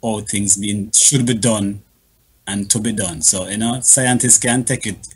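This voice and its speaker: A man's voice: fairly low pitch, fairly even intonation, unhurried, a little hiss or hum. A man on a talk show panel explaining things earnestly in fairly formal wording, often adding "you know".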